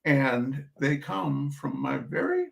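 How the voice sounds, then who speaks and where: A man's voice: medium pitch, expressive, slow, gravelly. A man hosting a reading of humorous essays, theatrical and emphatic, with mock indignation and chatty asides.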